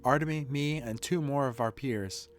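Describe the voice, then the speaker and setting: A man reading game dialogue as an earnest, dutiful woman who runs a shelter, in a measured, formal, reflective manner. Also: mid-range voice, close to an everyday voice. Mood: solemn. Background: music.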